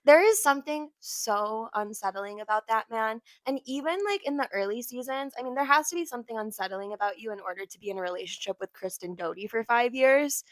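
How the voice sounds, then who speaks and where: A young woman co-hosting a casual podcast, slightly hoarse from talking, gossiping with a sarcastic edge and frequent laughter. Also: on the high side, fairly quick, hardly any pauses, no echo, rough recording. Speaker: podcast co-host. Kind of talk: casual chat.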